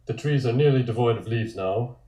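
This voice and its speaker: A male narrator reads stage directions in a deliberate, descriptive way. His voice is relaxed and medium in pitch. The room adds a noticeable echo. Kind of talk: scripted narration at a table read. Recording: echoey room sound.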